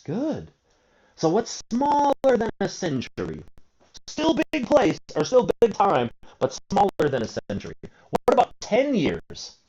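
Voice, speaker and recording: A man's voice: medium pitch, darkish tone. A man in his forties teaching an English grammar lesson, asking and answering his own questions and drawing out key words. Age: 40s. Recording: dull lo-fi recording.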